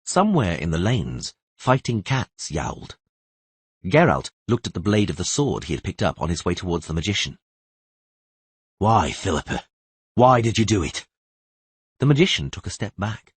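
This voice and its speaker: Very husky male audiobook narrator. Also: sits low, wildly swooping pitch, a little fast, no room sound. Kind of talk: dramatic scripted fantasy narration.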